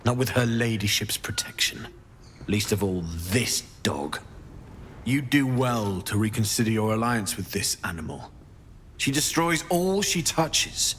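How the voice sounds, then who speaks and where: Slow-spoken scheming male false paladin. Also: on the low side, animated, fairly bright tone, slight background noise. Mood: cold menace. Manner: feigned fearful pleading turning to cold, deliberate threats. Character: false paladin, cunning menacing servant of an archdevil. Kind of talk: dramatic video game acting.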